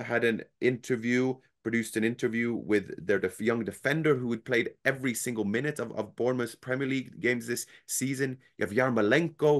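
A young man in his twenties co-hosting a casual football chat from home on a headset, talking in a measured, hedging way. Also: mid-range voice, a bit bright. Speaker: football podcast co-host. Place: home room with football shirts. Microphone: headset on video call.

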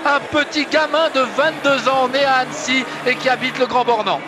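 A man giving live TV commentary on a ski race, excited and shouting over the crowd. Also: very high-pitched, normal speed. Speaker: lead sports commentator. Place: commentary booth at a ski stadium. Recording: live broadcast with loud crowd noise. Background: loud cheering crowd.

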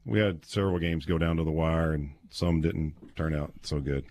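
A man, telling stories casually and haltingly as a guest on sports radio. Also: a bass voice, darkish tone, a little hiss or hum. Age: 50s. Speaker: guest, former college quarterback. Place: small padded radio studio. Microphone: close studio microphone with headset.

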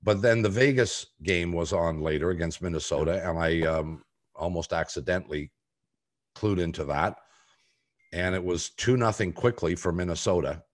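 An older man hosting a sports podcast from a home room, giving opinionated talk in a deliberate, halting way with pointed pauses. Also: low-pitched. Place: home room on a video call.

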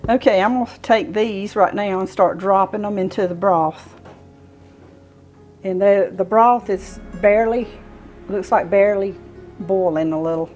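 Home cooking host: woman, sixties, Southern US accent, mid-range pitch, informal step-by-step delivery on a lapel mic. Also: unhurried, darkish tone, soft background music. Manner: folksy, explaining step by step while working. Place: home kitchen, indoors. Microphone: clip-on lapel mic.

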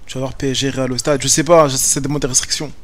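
A man's voice: medium pitch, level tone, fast talker. A young man streaming a game from home, chatting with viewers in slangy, joking banter. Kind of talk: casual gaming commentary. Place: home room, webcam.

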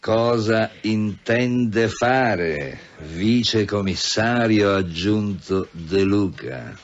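A condescending senior police official in a radio drama. His deep voice is pompous and mock-polite, with a veiled threat beneath it. The recording sounds slightly dull. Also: very slow. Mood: sardonic. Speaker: actor. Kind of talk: Italian radio drama acting.

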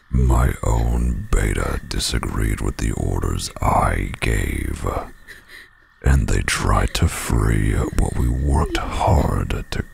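A menacing werewolf pack leader, with an extremely low, growling voice. He drawls very slowly and taunts with mock politeness, a sadistic audio-drama villain with faint ambience behind him. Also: a little hiss or hum, full character voice. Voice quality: very deep gravelly growl, often breathy. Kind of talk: dramatic audio roleplay acting.

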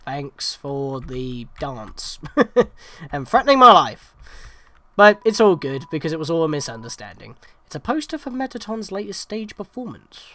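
Animated young man doing game commentary. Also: a little high. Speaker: let's play host.

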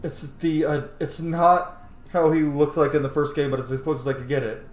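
A man's voice: a little high, flat delivery, normal speed. A man in his thirties hosting a casual, sweary let's play from a room at home. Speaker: gaming channel host. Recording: echoey room, game music behind. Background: game music.

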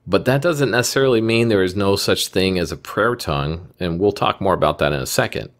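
A man's voice: low-pitched, brisk. A man hosting a commentary video, speaking in a careful, methodical way and reading scripture aloud.

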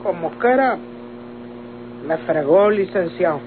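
A woman's voice: fairly low pitch, animated, takes it slowly. A woman playing a naive, indignant young village woman in a Mexican radio soap opera, with a Mexican accent and plaintive, rustic speech. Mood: resigned. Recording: tinny old radio recording, faint noise.

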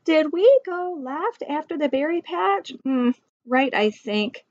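A woman voicing excitable young children on a hike in a picture book, with exclaiming, drawn-out lines. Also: sits high, pitched above the performer's own voice, exaggerated voice. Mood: puzzled. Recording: dull lo-fi webcam sound.

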